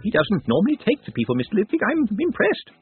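Man doing a high swooping feisty old lady. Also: wildly swooping pitch, fast talker, husky, narrow, tinny audio, faint music behind, full character voice. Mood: approving, amused. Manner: direct, gleeful, imperious.

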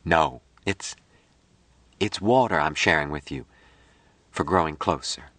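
A man voicing a kindly, devout middle-aged lady in an audiobook story, motherly and earnest, with a soft, solemn, folksy manner. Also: very deep voice, a little slow, dry recording, full character voice.

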